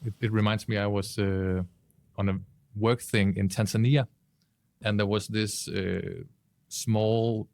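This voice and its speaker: A man with a fairly low voice speaks casually on a podcast panel. He sounds conversational, and the recording is dry with little room sound. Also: flat delivery, slow, vocal fry, a little mellow, no echo. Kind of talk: casual panel discussion and anecdote.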